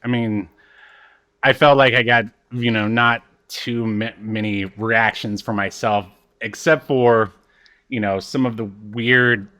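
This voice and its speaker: A man co-hosting a podcast, chatting casually about television in halting, hesitant phrases. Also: medium pitch, fairly even intonation, bright, forceful tone. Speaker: podcast co-host. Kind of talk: casual chat about a TV show.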